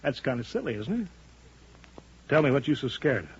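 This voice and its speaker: An actor playing a cool, commanding male chief in a 1950s radio drama, speaking deliberately and with authority. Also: mid-range voice, slight background noise. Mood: taunting. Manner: authoritative, calmly needling, deliberate. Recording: dull muffled 1950 radio broadcast.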